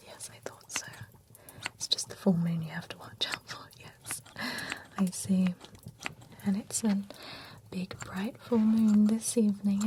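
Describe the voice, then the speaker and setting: A woman's voice: mid-range voice, slight background noise, natural voice. A woman voicing a chatty, prim female vampire who grooms werewolves, whispering close to the mic in an ASMR roleplay. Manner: whispered, gossipy and fussy. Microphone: close sensitive ASMR mic.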